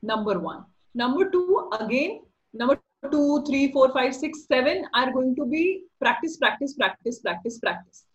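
A woman's voice: high voice, lively intonation, moderate pace, hardly any pauses, low-quality recording. A middle-aged woman with a slightly nasal voice, a voice-over artist talking casually as a podcast guest, instructive and playful. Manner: instructive, playful, laughs often. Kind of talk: casual interview.

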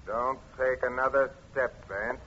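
Sharp, menacing radio-drama killer. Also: male voice, mid-range voice, steady tone, measured pace. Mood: threatening, agitated. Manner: threatening, defiant. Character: cornered, menacing male killer with a gun. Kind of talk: 1950s radio crime drama acting. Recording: scratchy, muffled old radio recording.

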